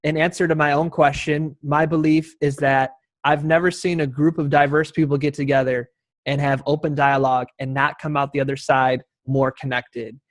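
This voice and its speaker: A man with a fairly high voice hosts an online town hall. He speaks courteously to his guests and the audience, in a grateful, devout manner. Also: flat delivery, moderate pace. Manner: courteous, thankful, devout phrasing. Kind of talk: live online panel hosting, addressing guests and audience.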